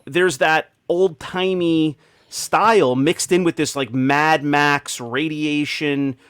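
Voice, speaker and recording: A man's voice: a little high, steady tone, slow, fairly bright tone, clear, resonant tone, dry recording, clean studio sound. A man hosting a casual panel discussion. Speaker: podcast host.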